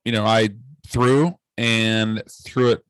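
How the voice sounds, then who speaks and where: A man's voice: fairly low pitch, steady tone, takes it slowly, a bit bright, clear, resonant tone, no room sound. A man co-hosting a podcast, telling a story casually with a rambling, hesitant delivery. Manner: rambling, hesitant, many fillers and restarts. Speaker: podcast co-host.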